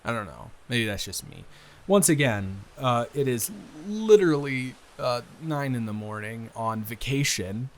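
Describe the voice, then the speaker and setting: A man's voice: medium pitch, animated, measured pace, voice pushed down, close to an everyday voice. Man playing a musing, hesitant eldest brother. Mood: casual, relaxed. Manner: conversational, hesitant, rambling. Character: responsible eldest son, friend's brother, musing and wry. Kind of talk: improvised intimate audio roleplay.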